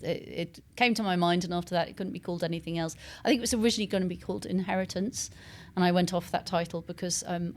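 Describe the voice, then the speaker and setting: Lower-voiced English woman, discursive. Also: a little mellow. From East London, England. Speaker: author being interviewed. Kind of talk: conversational interview answers.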